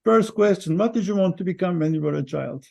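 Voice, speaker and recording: Mellow, gravelly male interview host. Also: sits high, brisk. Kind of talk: prepared introduction and interview questions.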